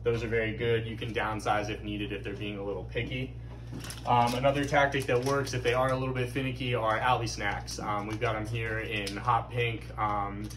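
A man, giving a steady, practical fishing report from inside a tackle shop. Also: lowish voice, normal speed, background noise. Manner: steady, practical, product-focused.

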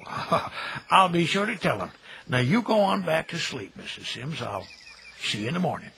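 An actor playing a kindly, folksy older cemetery caretaker in an audio drama, chatty and gentle in a homespun, nostalgic way. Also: male voice, on the high side, big pitch swings, moderate pace, creaky, husky, full character voice. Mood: gentle, friendly. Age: middle-aged. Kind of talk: naturalistic radio drama dialogue.

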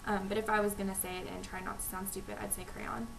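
A young woman from North Texas with a Texas accent, chatting to camera at home with lots of ums and reading out a word list over a weak, quiet webcam microphone. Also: mid-range voice, flat delivery, quick pace, creaky, over music, low-quality recording. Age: young adult. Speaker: vlogger. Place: small room at home, indoors. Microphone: webcam microphone, low volume. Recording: quiet webcam mic with hiss.

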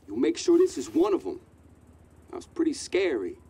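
An earnest, hapless guard interviewed on a video game's TV news. His high voice rises and falls dramatically as he recounts events, with noticeable noise behind. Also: huge pitch swings, moderate pace, warm tone. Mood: shaken. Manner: earnest, anecdotal. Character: hapless male security guard giving TV eyewitness account. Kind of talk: mock TV news interview in game. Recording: noisy TV news broadcast.

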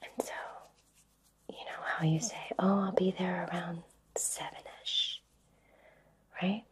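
A woman whispering breathily in a soothing, reassuring way with long pauses, guiding an ASMR Reiki session. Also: lowish voice, fairly even intonation. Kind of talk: whispered ASMR personal attention.

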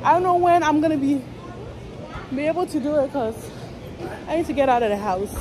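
A high-voiced woman in her thirties vlogging on the move, talking chattily into a phone she holds close. Her voice sits high and bright. Around her are loud crowd chatter and clear traffic and street noise from a busy station. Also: animated, fairly quick. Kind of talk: casual walking vlog. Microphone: handheld phone held close.

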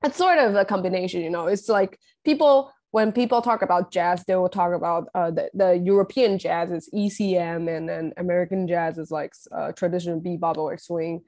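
Young woman musician, halting and thoughtful. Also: on the low side, steady tone, darkish tone. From Taiwan. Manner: thoughtful, halting, with many restarts and fillers. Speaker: guest musician. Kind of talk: casual interview. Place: home room, video call.

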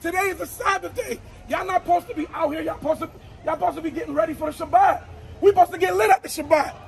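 A man preaching on a city sidewalk at night, forceful and repetitive, with many stammered restarts, recorded on a handheld phone. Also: extremely high pitch, fairly even intonation, quick pace, vocal fry. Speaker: street preacher. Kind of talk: street preaching and debate. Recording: crowd noise on a street.

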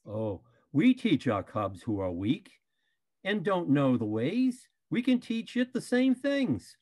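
A man's voice: on the high side, very animated, slow pace, a little mellow, exaggerated voice. An older man playing an earnest, polite panda bear who argues persuasively in a play reading over a video call. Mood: earnest, reasoning. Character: earnest, reasonable panda bear defending a newcomer.

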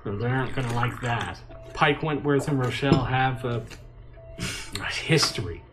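An actor playing an anxious, deferential adviser to a president in an audio drama, hesitant and cautioning. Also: male voice, mid-range voice, moderate pace, over music, lightly acted. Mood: worried.

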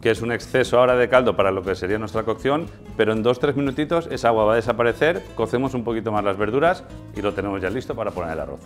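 A man's voice: fairly low pitch, very fast, faint music behind. A middle-aged man with an accent from Spain, explaining a cooking demonstration informally and instructively as a guest chef. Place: indoors in a tiled kitchen set.

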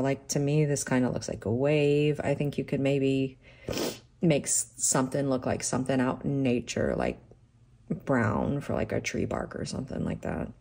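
A middle-aged woman chats her way through a nail art unboxing, her voice croaky and gravelly, low and mellow in tone. She talks slowly and loosely, wandering between thoughts with plenty of fillers. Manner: rambling, thinking aloud with many fillers. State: croaky from a sore throat. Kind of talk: casual unboxing chat. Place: tabletop crafting desk, camera overhead.

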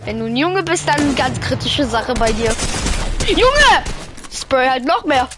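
A woman streaming a video game with friends, excitable, reading chat aloud and laughing often. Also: sits high, measured pace, over music. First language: German. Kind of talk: casual live-stream gaming chat.

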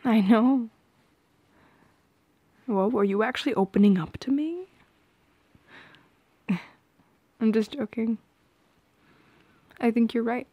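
Soft, slow-talking young woman, ASMR roleplay. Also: a little high, no echo, lo-fi sound. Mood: tender, shy. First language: Polish. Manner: soft-spoken, hesitant, often dropping into whispers. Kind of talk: intimate ASMR roleplay acting. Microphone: close microphone.

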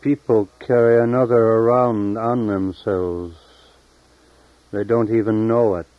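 A man reading his own poetry in a solemn, measured, deliberate way with long pauses, as a poet at a reading. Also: on the low side, steady tone, no room sound. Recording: dull lo-fi 1970s tape recording.

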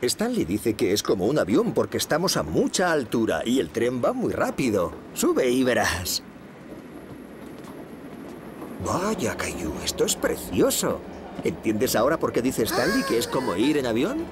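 A man playing a patient, cheerful young father in a Castilian Spanish cartoon dub, explaining things gently and encouragingly. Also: medium pitch, wildly swooping pitch, normal speed, noisy background. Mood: enthusiastic. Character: patient, cheerful young dad of a small boy. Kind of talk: children's cartoon dubbing.

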